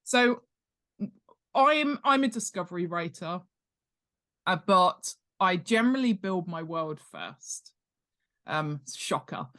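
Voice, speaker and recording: A woman's voice: lowish voice, animated, slow, long pauses, a bit bright. A British woman chatting as an expert guest in a casual interview, explaining things in an emphatic, self-deprecating way. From United Kingdom. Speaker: guest, author and world-building expert.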